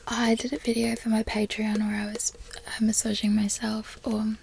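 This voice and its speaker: An American woman speaking in a soft, breathy ASMR whisper, lingering over and repeating her words. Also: fairly high pitch, monotone, a little hiss or hum. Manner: slow, lingering repetition of words. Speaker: ASMR video creator.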